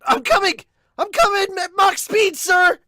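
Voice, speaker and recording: A man voicing a loud, overeager young baseball player in a manga dub, shouting with frantic eagerness. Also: very high voice, measured pace, dry recording, studio quality, voice pushed up, exaggerated voice.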